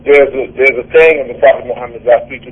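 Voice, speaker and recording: Husky guy explaining faith on call-in radio, patient, kinda stop-start, thin phone sound. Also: mid-range voice, rapid-fire, narrow, tinny audio. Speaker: religious speaker.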